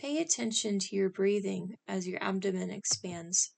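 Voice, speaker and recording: A woman leading a scripted guided meditation in a calm, instructional manner, speaking in short, spaced phrases. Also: mid-range voice, moderate pace, gravelly.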